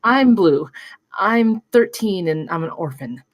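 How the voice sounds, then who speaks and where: A woman's voice: medium pitch, lively intonation, takes it slowly, no echo. A woman playing a plainspoken, brilliant thirteen-year-old girl in a script table read. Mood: matter-of-fact.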